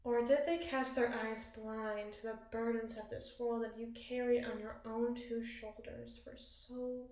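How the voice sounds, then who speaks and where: A woman's voice: on the high side, level tone, unhurried, few pauses, darkish tone. A woman playing a possessive, cunning evil queen in an ASMR roleplay, speaking in ornate, theatrical monologue to a spouse. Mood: brooding, pointed. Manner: ornate, eloquent, dramatic phrasing. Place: small bathroom, indoors. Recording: very echoey tiled bathroom.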